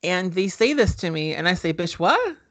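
A man co-hosting a casual podcast, talking in an expressive, animated way and laughing often. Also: high-pitched, moderate pace, no echo. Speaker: podcast co-host. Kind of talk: casual chat. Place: indoors.

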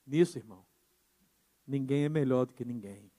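A man pastor preaching a sermon with emphasis, moving between quiet passages and loud insistent ones. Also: medium pitch, normal speed. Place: church. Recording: church hall with long reverb.

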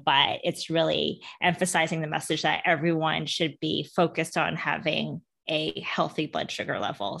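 Low-voiced gravelly woman entrepreneur. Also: fairly bright tone. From Canada and Hong Kong. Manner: chatty, frequent ums and laughs. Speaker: entrepreneur guest. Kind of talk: casual interview storytelling.

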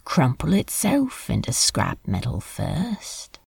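A woman's voice: rumbling low, wildly swooping pitch, slow pace, creaky, darkish tone, husky, dry recording, full character voice. A woman playing a brooding, sardonic male demon in a fan audio drama, taunting and wounded. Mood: wounded, defiant. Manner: sardonic, challenging.

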